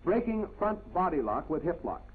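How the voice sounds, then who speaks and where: A man's voice: on the high side, very animated, moderate pace, husky, narrow, tinny audio. A man reading scripted military instruction as a 1940s training film narrator, in a clipped, commanding manner on an old film soundtrack.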